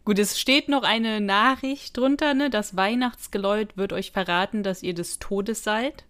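A woman's voice: medium pitch, normal speed, a bit bright. A woman with a mid-range voice speaks as a podcast co-host. Her delivery is chatty and informal, like casual conversation about an audio drama.

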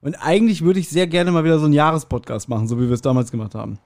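Middle aged guy, kinda high voice, just chatting away like a podcast co-host. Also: rapid-fire, a little mellow. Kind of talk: casual chat.

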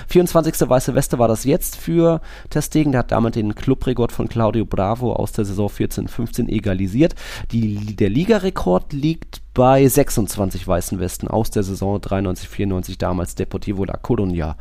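A man's voice: on the low side, brisk, hardly any pauses, mellow, dry recording. A man co-hosting a football podcast, casually going through results and statistics. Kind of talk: casual sports commentary with statistics.

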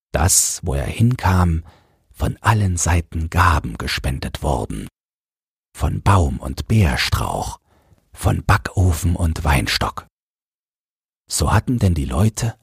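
A man's voice: low-pitched, darkish tone, husky, no room sound. A man narrating a scripted fairy tale as an audiobook storyteller, close to the microphone. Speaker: narrator, podcast host.

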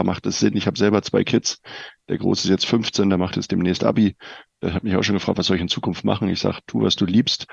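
A man's voice: fairly low pitch, gravelly, slightly rough, no room sound, highs cut off. A middle-aged man giving direct, informal advice as a guest financial coach, explaining things with everyday examples. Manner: direct, informal, addresses listener as you.

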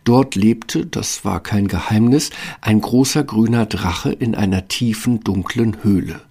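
Gravelly male fairy-tale narrator. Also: lowish voice, a little slow, darkish tone.

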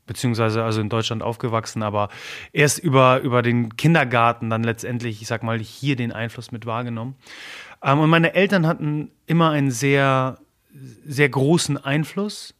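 A man, a native Polish speaker, answers interview questions in a sincere, emotional tone. He speaks haltingly, with frequent filler sounds and restarts, and his voice sits at an ordinary middle pitch for a man. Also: a bit bright. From Germany. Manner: earnest, halting, many fillers and repeated words. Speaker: interview guest. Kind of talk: personal interview talk.

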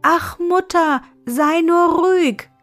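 Woman voicing an eager, high-voiced young girl. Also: slow, no echo, faint music behind. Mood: calling out. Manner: excitable, sighing, exclaiming with longing. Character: eager, impatient young village girl with a fine voice. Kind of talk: fairy-tale storybook reading.

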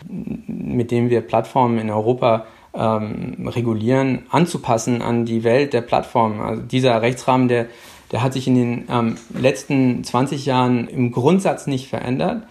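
A middle-aged man from Hamburg, a guest speaking over a phone line, answering in a halting way with many fillers. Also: medium pitch, fairly even intonation, creaky, darkish tone. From Hamburg, Germany. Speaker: guest, policy official. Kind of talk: interview answers.

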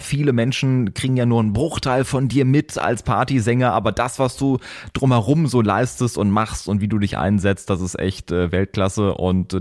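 Male host, fairly low pitch, informal, enthusiastic podcast chat. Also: darkish tone.

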